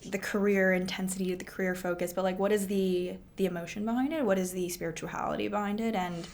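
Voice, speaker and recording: A woman's voice: mid-range voice, steady tone, quick pace. A woman hosting an interview podcast, affirming her guest often and asking questions in a casual, friendly way. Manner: warm, affirming, many mm-hmms. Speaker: podcast host.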